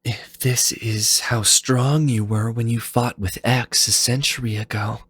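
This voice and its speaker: Guy doing a robot scientist lady, higher soft voice, earnest n pleading, slow, dry fan fic audio drama. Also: steady tone, breathy edge, no echo. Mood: awed. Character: earnest urgent female android scientist, pleading, softly intense. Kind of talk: dramatic fan fiction reading.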